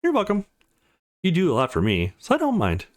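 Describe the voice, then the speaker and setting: A man voicing a sweet, coaxing, relaxed adult woman landlady in a visual novel. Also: high-pitched, fairly quick, voice pushed up.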